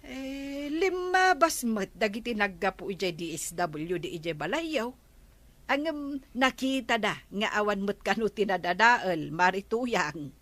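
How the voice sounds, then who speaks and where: A woman's voice: medium pitch, animated, unhurried, a bit bright. A woman with an Ilocano Filipino accent playing a chatty village woman passing on neighbourhood news in a radio comedy drama. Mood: gossipy.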